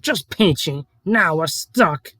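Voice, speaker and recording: A man's voice: on the high side, lively intonation, takes it slowly, bright, forceful tone, no room sound, clean studio sound, full character voice. A man voicing a grumbling, impatient male diesel locomotive in a fan-dubbed cartoon. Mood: exasperated. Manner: grumbling, scornful. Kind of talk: fan-dub cartoon character acting. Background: background music.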